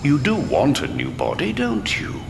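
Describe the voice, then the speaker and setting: A swooping, theatrical mad scientist. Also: male voice, medium pitch, wildly swooping pitch, measured pace, gravelly, long reverb tail, noisy background, full character voice. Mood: coaxing. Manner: theatrical, mock-courteous, condescending, swooping emphasis. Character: mad scientist villain, genteel, grandiose, sinister older man. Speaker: villainous doctor. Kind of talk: full-cast audio drama acting.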